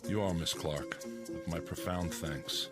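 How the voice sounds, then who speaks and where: An actor in a radio drama playing a composed military general, formal and courteous with measured authority. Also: male voice, low-pitched, music in the background. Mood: calm, courteous.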